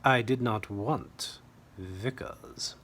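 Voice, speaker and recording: Man playing a male wartime pilot, storybook character, excitable, pompous, jaunty old-fashioned bluster, husky tone. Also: lowish voice, very slow, heavily acted. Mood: insistent.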